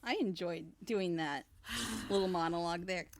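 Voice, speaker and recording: A woman giving enthusiastic, casual reaction commentary over loud music. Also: lowish voice, normal speed, a crowd in the background. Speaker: commentator on the audio drama, one of its makers.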